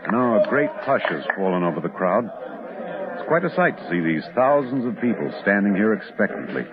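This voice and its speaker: An actor playing a parade announcer in an old-time radio drama, an American man with a theatrical, breathlessly descriptive delivery. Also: medium pitch. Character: excited male parade announcer turning uneasy. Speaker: on-the-spot radio commentator. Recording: muffled vintage radio, loud crowd and noise. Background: noisy parade crowd.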